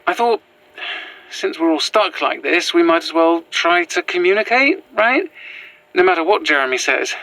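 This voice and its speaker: Horror podcast lead: actress as earnest, nervous British woman on the run, bright-voiced, hesitant and apologetic, close dry sound. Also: lowish voice, animated, moderate pace, no room sound, low-quality recording. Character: anxious, earnest young woman, former history teacher on the run. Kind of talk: found-footage horror audio drama.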